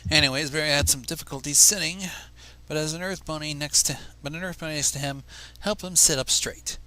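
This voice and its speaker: A man's voice: on the high side, a little fast, bright, forceful tone, rough recording. A man reading a story aloud with casual asides, stumbling over words and going back over them. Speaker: fan fiction reader.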